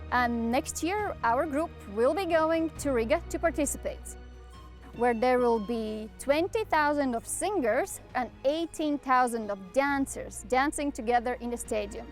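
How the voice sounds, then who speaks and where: A woman from Latvia speaks about her folk dance group in a high, clear voice. She explains things in a relaxed interview manner, pausing on an "uh" now and then. Light music sits in the background.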